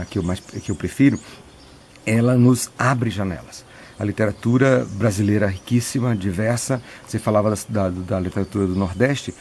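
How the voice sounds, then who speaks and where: Soft-spoken priest. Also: male voice, lowish voice, a little hiss or hum. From Piauí, Brazil. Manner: thoughtful, many restarts and filler "né". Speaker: retreat preacher, priest. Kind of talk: reflective interview answers.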